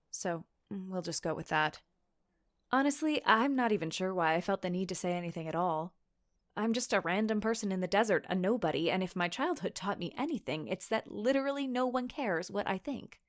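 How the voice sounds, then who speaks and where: A woman's voice: medium pitch, natural voice. An actress playing a sardonic, anxious young woman who narrates a confessional podcast drama in a conversational, sweary way. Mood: wry, resigned. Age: young adult. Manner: wry, sarcastic, sweary. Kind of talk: confessional scripted monologue, conversational.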